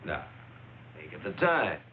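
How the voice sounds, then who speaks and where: A contemptuous small-time crook in a vintage noir drama. His low, sharp-edged voice is gruff, slangy and defiant. There is faint noise behind him. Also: very animated, moderate pace, heavily acted, rough recording. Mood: defiant, sneering. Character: surly, contemptuous male small-time hoodlum under arrest. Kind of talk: mid-century film noir crime drama acting.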